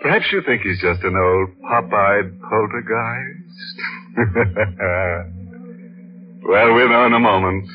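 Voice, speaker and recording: An actor playing a macabre, teasing horror show host, slow, sardonic and chummy, in 1940s radio style. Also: male voice, on the low side. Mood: gleefully macabre. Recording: dull old radio broadcast with music behind.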